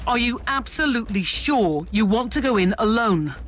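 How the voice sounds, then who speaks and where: An actor playing a diligent ship's officer in a video game, reporting to a superior in a formal, deferential way. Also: male voice, high-pitched, animated, moderate pace, fairly bright tone. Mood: dutiful, factual. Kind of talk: video game cutscene acting.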